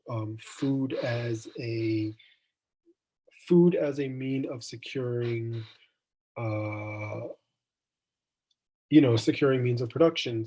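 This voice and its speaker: Husky-voiced young man hosting. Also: medium pitch, darkish tone, poor audio. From Jamaica. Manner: thoughtful, meandering, frequent ums and restarts. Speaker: student event host. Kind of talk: casual online discussion.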